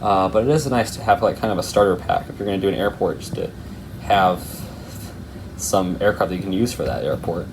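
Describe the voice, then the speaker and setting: A steady air-conditioning hum sits behind a man's low voice. He talks casually, like a hobbyist presenting an unboxing to viewers. His delivery rambles and tends to wander off on tangents. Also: a little fast. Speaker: hobby video host.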